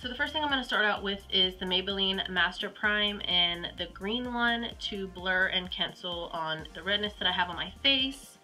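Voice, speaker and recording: Very bright-voiced stuffy young woman. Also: mid-range voice, normal speed, hardly any pauses, faint music behind. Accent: Southern US. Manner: chatty, rambling, with frequent ums and likes. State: stuffy and congested with a cold. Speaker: beauty vlogger. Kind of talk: casual makeup tutorial.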